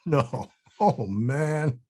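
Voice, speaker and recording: A man acts a laid-back film-set guy in a scripted read. He speaks slowly at a middling pitch, deadpan and incredulous, with something troubling him. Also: husky, full character voice. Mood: amused disbelief.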